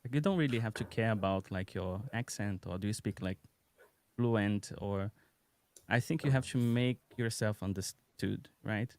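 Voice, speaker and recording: A man in his thirties with a Brazilian accent, speaking English as a second language as a guest on a live stream, relaxed and telling stories with easy laughter. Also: lowish voice, moderate pace. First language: Portuguese. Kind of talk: casual live-stream chat. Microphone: podcast microphone on a video call.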